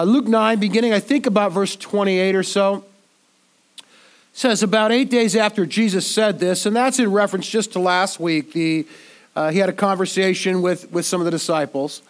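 High-voiced pastor with a congested cold. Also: male voice. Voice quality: nasal. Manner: conversational, repeats words while thinking. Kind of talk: sermon.

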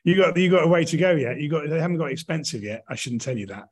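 A man's voice: a little high, animated, fast talker, slightly rough. A middle-aged British man in casual, reflective conversation, thinking aloud and often rephrasing as he goes. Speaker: podcast guest, actor and director.

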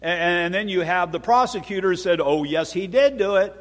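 Male pastor, lively sermon delivery, slightly high voice, long reverb. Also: fairly quick. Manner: animated preaching, repeats words, acts out courtroom voices.

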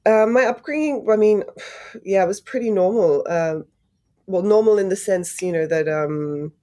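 A woman's voice: mid-range voice, moderate pace, warm tone. A young woman from Australia, speaking as a podcast guest, thoughtful and searching for words, laughing lightly. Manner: thoughtful, many fillers and restarts, laughs lightly. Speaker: guest, climate correspondent. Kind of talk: casual interview. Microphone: video call.

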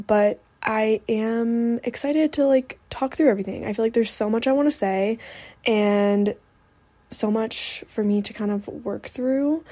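A woman's voice: medium pitch, warm tone. A woman in her twenties hosting a solo podcast, talking casually in a candid, reflective way with lots of "like" as filler. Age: 20s. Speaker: podcast host. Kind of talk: casual solo podcast talk, personal update.

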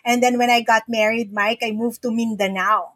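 Woman guest from the Philippines, husky, slightly high voice, dry close sound, earnest, repeats words at starts. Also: fairly even intonation, normal speed, fairly bright tone, no echo. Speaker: podcast guest. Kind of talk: casual interview talk. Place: home in the Philippines.

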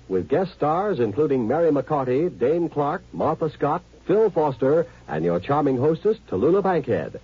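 A male network announcer with a very husky, animated, fairly high voice. He delivers 1950s radio promos on a muffled old recording.

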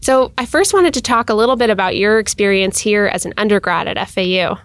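A woman's voice: medium pitch, brisk, no echo, soft background music. A woman hosting an interview podcast, friendly and encouraging with her guest. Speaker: podcast host.

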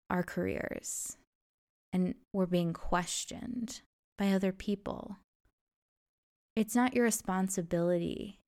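A woman's voice: mid-range voice, dark tone, clear, resonant tone. A young adult woman hosting a solo podcast, talking in an earnest, encouraging way. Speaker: podcast host. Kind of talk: reflective solo podcast monologue.

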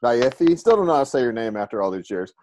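A man's voice: mid-range voice, sing-song, quick pace, a little mellow, a little hiss or hum. A man hosting a casual interview, joking and stumbling over his words as he asks questions. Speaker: interview host.

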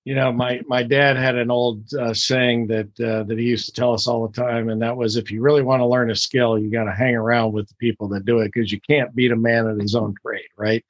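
A man's voice: mid-range voice, little pitch movement, fairly quick, few pauses, no room sound, highs cut off. A man hosting a podcast interview, talking in a folksy way with frequent repeated words. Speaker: podcast host.

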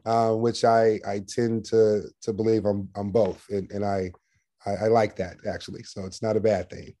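Male sales manager as podcast guest: lowish, very mellow tone, assertive and projecting, conversational business talk. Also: flat delivery, moderate pace. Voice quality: loud, projecting voice.

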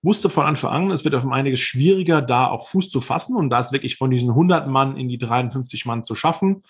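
Man, 30s, husky voice, interview guest, rambling reflective storytelling, frequent hesitations. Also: medium pitch, fairly quick. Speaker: guest, former pro football player.

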